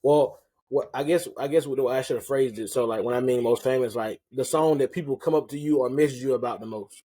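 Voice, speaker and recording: A man hosting a casual interview, relaxed and slangy, often restarting his questions. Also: medium pitch, brisk, husky, no room sound. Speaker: interview host and rapper.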